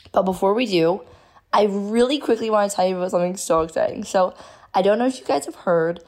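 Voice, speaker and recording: A woman hosting her own podcast, talking casually and effusively with a hoarse voice she has lost. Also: medium pitch, darkish tone. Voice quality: gravelly, strained. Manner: bubbly, effusive. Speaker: podcast host.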